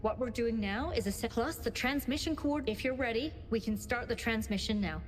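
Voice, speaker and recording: A loyal female officer played by a woman delivers businesslike, urgent lines in a game cutscene. Her voice is fairly high and rings with long reverb. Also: a little fast, slight background noise, natural voice. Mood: urgent, determined. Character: brisk, loyal female officer giving orders. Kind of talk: scripted video game cutscene acting.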